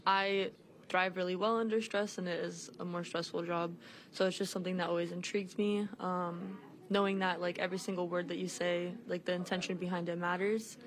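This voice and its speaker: A woman's voice: fairly low pitch, little pitch movement, a little fast. A woman in her twenties, a student, speaking casually in a news interview. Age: 20s. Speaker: college student. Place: college training lab, indoors. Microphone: handheld interview mic.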